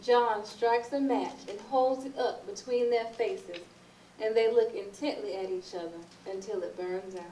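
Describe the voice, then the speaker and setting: A woman's voice: medium pitch, fairly even intonation, a little slow, warm tone. A woman reading stage directions as scripted narration for a readers theatre play. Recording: roomy, distant, noisy recording.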